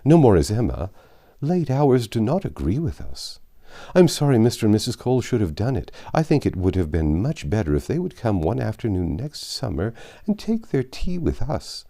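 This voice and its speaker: A man reading a storybook, voicing a gentle, fretful elderly father who fusses anxiously and plaintively. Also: on the low side, very animated, moderate pace, voice pushed up. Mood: fretful, resigned. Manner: fussy, plaintive. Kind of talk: audiobook character voice.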